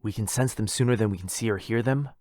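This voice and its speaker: Casting: male actor as clingy, wounded vampire lover, low voice, close dry sound, brooding intensity, roleplay audio. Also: fast talker, darkish tone, dry recording, lightly acted. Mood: protective boasting. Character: needy, wounded, clingy yandere vampire brother. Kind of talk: boyfriend ASMR roleplay audio drama.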